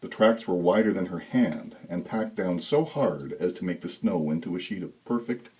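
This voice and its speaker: Low-voiced man reading a story. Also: normal speed, darkish tone. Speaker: author reading own story. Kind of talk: scripted narration, dramatic story reading.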